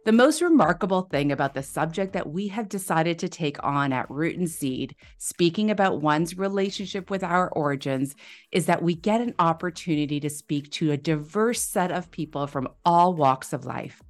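A woman hosts a podcast with an upbeat manner. Her voice sits low for a woman. Faint music plays under her at times. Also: expressive, moderate pace. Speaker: podcast host. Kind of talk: scripted podcast intro and interview.

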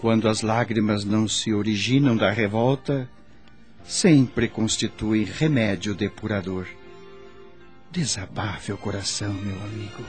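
An actor playing a gentle spirit healer in a Brazilian radio drama, speaking in a solemn, measured, preaching manner with a Brazilian accent. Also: male voice, on the low side, steady tone, faint music behind, lightly acted. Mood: gently consoling. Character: gentle male spirit healer counselling a patient.

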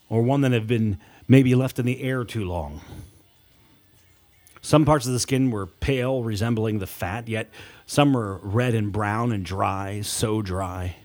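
A man reading scripted horror fiction as an audiobook narrator, in a dramatic, deliberate storytelling manner with weighted pauses. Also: medium pitch, darkish tone.

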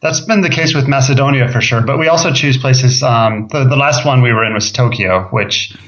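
A man from Ohio, a guest chatting casually in an interview, relaxed, with fillers and repeated words. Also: medium pitch, brisk. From Ohio, USA. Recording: dull lo-fi sound.

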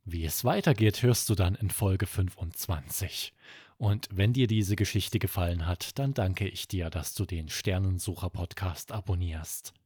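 A man narrating a scripted children's bedtime story as podcast host, with expressive, dramatic storytelling. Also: sits low, measured pace, slightly rough, no room sound, clean studio sound.

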